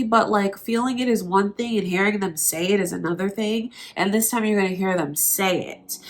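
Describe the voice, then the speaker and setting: A woman's voice: medium pitch, a bit bright. A woman with a blocked, nasal voice from allergies, giving a tarot reading straight to her viewers in an earnest, reassuring way. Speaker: tarot reader.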